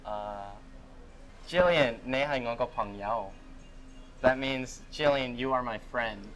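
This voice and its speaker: A young man of middling pitch chats his way through an interview, rambling, trailing off and laughing at himself. He talks casually, piling on fillers, with faint music somewhere behind him. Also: slow pace, fairly bright tone. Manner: rambling and self-deprecating, laughs often, lots of fillers. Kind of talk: casual chat. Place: on a cruise ship.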